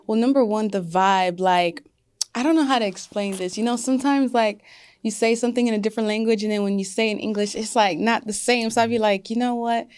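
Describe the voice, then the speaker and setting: A woman's voice: a little high, fairly even intonation, brisk, darkish tone, clean tone, no room sound. A young woman singer talking casually in an interview, with many fillers like "like" and "you know". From United States. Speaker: singer guest.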